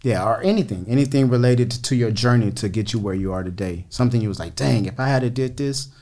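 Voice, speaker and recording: A man hosting a podcast, asking casual interview questions in a relaxed, slangy way. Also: mid-range voice, fast talker. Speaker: podcast host.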